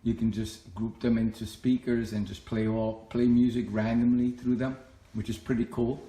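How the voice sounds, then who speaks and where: A middle-aged man talks through his setup in a plain, conversational manner. His pitch is average and he circles back over his points as he explains. The recording has a clear echo of an indoor room. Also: little pitch movement, normal speed, dark tone. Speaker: home tech vlogger. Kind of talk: casual explanatory home walkthrough.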